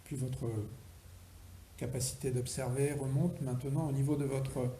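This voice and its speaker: A man guiding a relaxation meditation as a yoga instructor, speaking slowly and deliberately, with pauses between instructions. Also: mid-range voice, level tone, dark tone. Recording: roomy echo, faint background noise.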